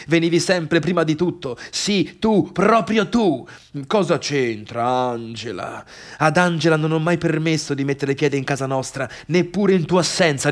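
Man acting a bitter, high-voiced failed pianist. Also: natural voice. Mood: indignant, wounded. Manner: scornful, sarcastic, brooding, swinging between muttering and outbursts. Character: bitter, obsessive failed pianist abandoned by his sister. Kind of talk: theatrical monologue for radio theatre.

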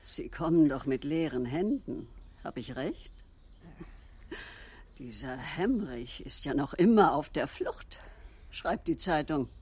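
An imperious female lecturer in a radio play, husky and low. Her delivery is slow, mocking and smug, swooping widely in pitch. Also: animated, a little mellow, heavily acted. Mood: smug, needling. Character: imperious, self-certain female university lecturer, needling and smug. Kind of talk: radio drama acting.

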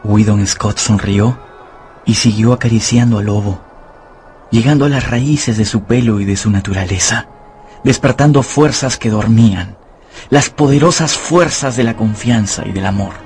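Low voiced guy narrating a radio novel, measured and dramatic, faint music under. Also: darkish tone.

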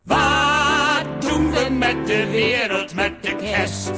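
An actress playing an earnest, troubled character, singing a Christmas song in a radio drama. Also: female voice, fairly high pitch, lively intonation, very slow, over loud music.

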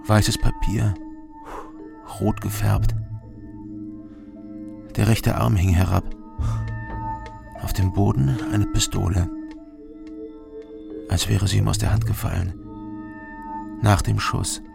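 An actor playing a terse, world-weary investigator who narrates a crime radio drama in short, clipped phrases. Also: male voice, lowish voice, steady tone, slow pace, vocal fry, pauses often, full character voice. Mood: grim, terse. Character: terse, world-weary male investigator recounting his case. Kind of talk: scripted first-person crime narration. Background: music.

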